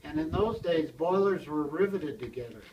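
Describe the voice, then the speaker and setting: Older man, slightly high voice, rambling blacksmith storyteller, recorded from across the room on a camera. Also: expressive, moderate pace, highs cut off, a little hiss or hum. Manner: rambling, chuckles as he tells it. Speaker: blacksmith telling stories. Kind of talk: casual storytelling to a small group. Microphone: handheld video camera. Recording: distant from the mic, roomy.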